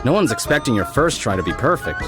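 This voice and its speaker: A man's voice: medium pitch, big pitch swings, fast talker, over music, close to an everyday voice. An actor playing a kindly, patient master craftsman in a video game, musing and explaining as he goes. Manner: musing, hesitant hums, explanatory. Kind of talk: video game character acting.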